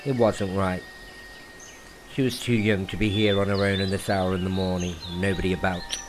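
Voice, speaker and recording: A man narrating a dramatic horror story in a theatrical way, with deliberate pauses. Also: low-pitched, little pitch movement, noisy background. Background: music.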